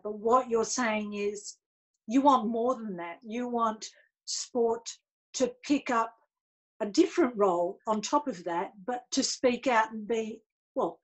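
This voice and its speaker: A middle-aged Australian woman interviews a guest over a webcam from a study at home. Her voice sits somewhat high, and she frames her questions in a calm, probing way. The sound is that of a video call. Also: measured pace, low-quality recording. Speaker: interviewer, journalist. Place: home study with bookshelves, indoors.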